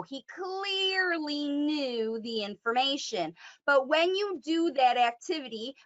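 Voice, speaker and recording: A woman with a high voice coaches a parent, talking in an emphatic, encouraging way and often checking in with "right?". The audio is dull and lo-fi, like a call recording. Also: fairly bright tone. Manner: emphatic, encouraging, frequent "right?" tag questions.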